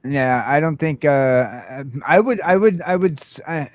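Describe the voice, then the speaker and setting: A man hosting a casual chat, hesitant, filling pauses with "uh". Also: a little high, expressive, no room sound, clean studio sound. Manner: hesitant, fills with "uh". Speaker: host.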